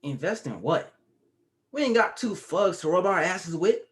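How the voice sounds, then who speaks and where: A cocky, foul-mouthed fifteen-year-old boy, read by a man. His delivery bounces up and down with lively pitch. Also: normal speed. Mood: mouthy. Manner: blunt, profane, cocky. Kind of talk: table read.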